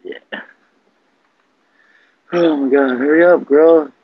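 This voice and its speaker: A man's voice: fairly high pitch, fairly even intonation, slow, slightly rough, no echo. A young man chatting casually and slangily on an internet group call, muttering asides. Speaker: prank caller. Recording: slightly muffled computer call audio.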